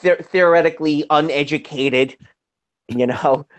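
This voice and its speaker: A man talking in a casual online group chat, halting and restarting his sentences often. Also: on the high side.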